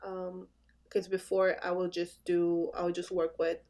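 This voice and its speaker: A woman brand designer answering interview questions casually, pausing often with "um" as she thinks. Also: on the low side, level tone, mellow. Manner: hesitant, with many "um" pauses.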